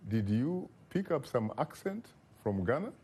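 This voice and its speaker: A man hosting a broadcast interview, asking measured questions with frequent pauses and fillers. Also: medium pitch, very animated, mellow, husky. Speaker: talk show host.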